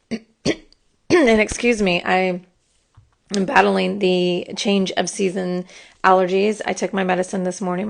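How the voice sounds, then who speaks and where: A woman hosts a live online chat as a coach, her voice a little gravelly and fried at the edges. She rambles along casually with lots of ums and restarts, speaking into a desktop podcast mic at home. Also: medium pitch. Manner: chatty, rambling with fillers. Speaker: host and coach. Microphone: desktop podcast microphone.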